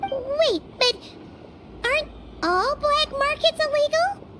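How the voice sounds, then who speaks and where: An actress voicing a tiny, kindly fairy companion with a squeaky, childlike, perky voice in an anime game. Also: female voice, expressive, slow, noisy background, heavily acted. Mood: surprised, puzzled. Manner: perky, speaks of self in third person. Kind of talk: English anime game voice acting.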